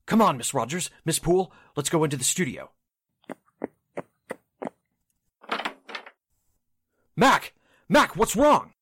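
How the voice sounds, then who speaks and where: Man playing an animated, businesslike radio producer. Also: on the high side, moderate pace, slightly rough, full character voice. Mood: alarmed. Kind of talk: 1940s radio drama acting.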